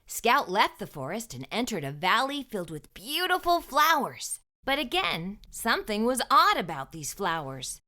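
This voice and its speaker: A woman reading a storybook aloud to young children, with expressive, emphatic delivery and deliberate phrasing. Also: a little high.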